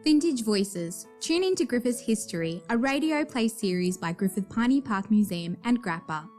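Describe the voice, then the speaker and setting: A woman's voice: fairly high pitch, expressive, moderate pace, a little mellow, faint music behind. A woman announcing a nostalgic radio play series with a scripted introduction.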